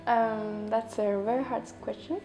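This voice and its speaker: A woman answering an interview question thoughtfully, pausing often with fillers. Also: a little high, steady tone, warm tone, lo-fi sound, soft background music.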